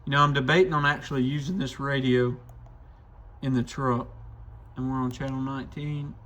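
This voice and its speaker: A man's voice: mid-range voice, fairly even intonation, moderate pace, a bit bright, a little hiss or hum. A man hosting a casual hands-on product demo, thinking aloud informally as he tinkers. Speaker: radio shop reviewer and video host.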